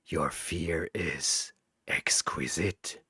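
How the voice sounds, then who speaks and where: Ancient male devil for an audio story: low, raspy, gloating, savouring each slow word. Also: sharp tone, no echo, clean studio sound, exaggerated voice. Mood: sinister relish. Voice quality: raspy, breathy whisper.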